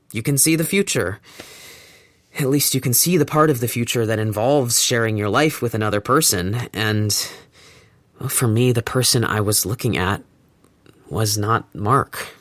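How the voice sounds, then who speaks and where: A man's voice: on the low side, fairly bright tone, no room sound. An actor playing a wry man looking back on a breakup, speaking a reflective first-person monologue with hesitant restarts. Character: wry man newly split from his partner. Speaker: narrator of an audio drama.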